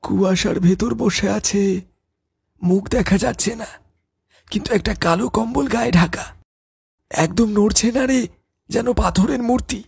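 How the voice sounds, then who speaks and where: A very husky, creaky, high voice of a man acting a superstitious young villager in a cartoon ghost tale. He is earnest and nervous, hesitant with fear. The sound is close and dry. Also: unhurried, pauses often, a little mellow, no echo, heavily acted. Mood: frightened. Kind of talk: Bengali cartoon ghost story dialogue.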